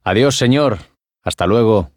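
A man's voice: fairly low pitch, animated, unhurried, no echo, clean studio sound. A curious, chatty young man. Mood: friendly. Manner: chatty, inquisitive. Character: curious, friendly young man, also a vendor and a neighbor. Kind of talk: language-course dialogue acting. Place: dry studio.

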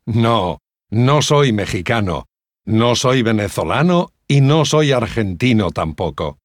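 An actor playing a courteous adult male teacher in a language-course dialogue, speaking slowly, clearly and politely. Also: medium pitch, animated, dry recording, clean studio sound. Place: dry studio.

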